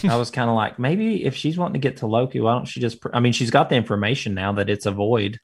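A man's voice: mid-range voice, fast. A man co-hosting a casual review podcast, hesitant with many restarts and dry jokes. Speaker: podcast co-host.

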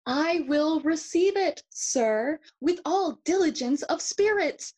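A woman playing a brooding, mocking young Shakespearean prince in an audio play, theatrical and deliberate, moving between English and Spanish. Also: sits high, animated, a little mellow. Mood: mock courteous.

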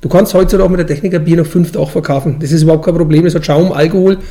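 Fast-talking Franconian man, mellow. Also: on the high side, steady tone. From Franconian Switzerland, Bavaria, Germany. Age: 40s. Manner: colloquial dialect speech. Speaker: brewmaster and brewery owner, interviewee. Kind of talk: casual interview, explaining.

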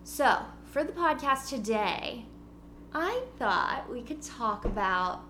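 A woman's voice: fairly high pitch, very animated, slow, pushed and bright. A woman in her twenties hosting a casual podcast from home, bubbly and giggly as she rambles along. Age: 20s. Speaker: podcast host. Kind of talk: casual chat. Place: at home before a party. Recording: noisy with electrical hum.

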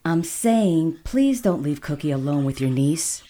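Animated, low-voiced woman veterinarian. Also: unhurried. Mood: serious, frank. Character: kindly, frank, offbeat female veterinarian. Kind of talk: naturalistic audio drama acting.

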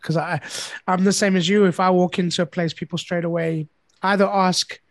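A man's voice: sits high, steady tone, moderate pace, no room sound. A man from South Africa hosting an interview, chatting casually with frequent stammered restarts. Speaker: podcast host.

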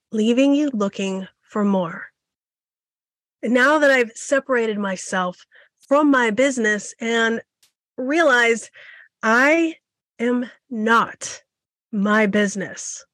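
A woman's voice: fairly high pitch, a bit bright, no echo. Bold, emphatic woman podcaster with a scratchy voice. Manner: emphatic, bold, repeating phrases for effect. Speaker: podcast host and photography coach. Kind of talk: solo podcast monologue.

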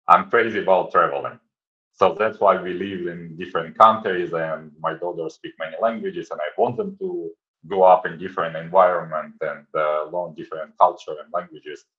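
A middle-aged man with a Ukrainian accent, earnestly answering interview questions with frequent pauses and hesitations. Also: low-pitched, highs cut off. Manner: earnest, many "uh" pauses, non-native grammar. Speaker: guest, website founder.

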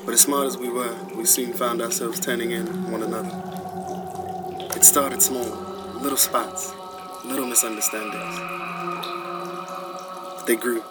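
A man playing a grave professor leaving recorded warnings in a sci-fi radio drama, lecturing in a measured, ominous way. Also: medium pitch, little pitch movement, loud music behind the voice, natural voice. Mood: somber recounting. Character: grave male scientist professor leaving recorded survival instructions.

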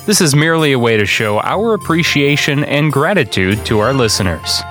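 Animated man reading a storybook. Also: mid-range voice, moderate pace, soft background music. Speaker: podcast host reading a children's book aloud. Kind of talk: expressive storybook narration.

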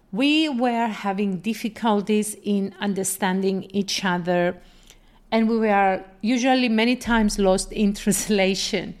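A woman with a Greek accent, a native Greek speaker, giving an instructional solo podcast talk in a deliberate, explanatory manner. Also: mid-range voice. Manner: deliberate, explanatory, poses questions then answers them. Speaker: podcast host and coach.

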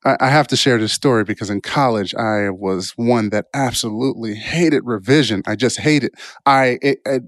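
A man with an average-pitched voice talks like an informal motivational speaker. He is reflective and tends to ramble, restarting thoughts and tagging points with "right?" The sound is dry, with hardly any room in it. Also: no room sound. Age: adult, past college years. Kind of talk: informal self-help talk with personal stories.

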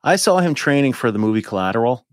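A man in his fifties, a podcast guest, chatting casually in a rambling, animated way with lots of fillers. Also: medium pitch, fast talker, no room sound, clean studio sound. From United States. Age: 50s. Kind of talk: casual chat.

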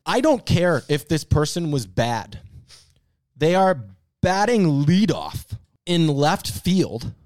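A man's voice: a little high, very animated, slow, a little mellow, dry recording, studio quality. A man co-hosting a casual sports banter podcast, talking in a rambling, emphatic, slangy way. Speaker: podcast co-host.

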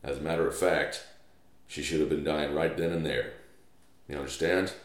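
Man reading as a very deep, folksy cowboy. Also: fairly even intonation, moderate pace. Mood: reflective. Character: rugged, reassuring cowboy storyteller of the Old West. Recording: roomy, echoey room sound.

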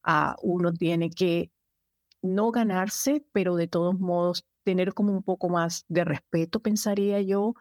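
A woman in her fifties from Colombia, answering interview questions in a measured, thoughtful way with frequent hesitations. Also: fairly low pitch, fairly even intonation, a little mellow, dry recording, studio quality. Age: 50s. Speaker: guest, immigration agency director.

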